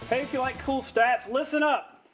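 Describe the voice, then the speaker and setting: A man's voice: extremely high pitch, fairly even intonation, moderate pace, music in the background. A man presenting a television sportscast in a chatty, casual way. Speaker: sports news anchor.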